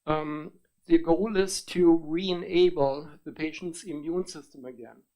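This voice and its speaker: A middle-aged man with a German accent speaks in a gravelly, somewhat raised voice. He testifies formally before a committee. His delivery is halting and full of ums and uhs. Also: a little high, fairly even intonation, warm tone. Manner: hesitant, many ums and uhs, measured explanation. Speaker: testifying witness, biotech executive.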